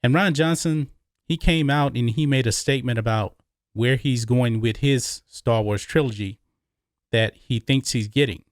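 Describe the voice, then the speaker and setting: A man's voice: medium pitch, normal speed, no room sound, clean studio sound. An American man hosting an opinionated film commentary, speaking emphatically and repeating phrases for stress. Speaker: YouTube movie commentary host.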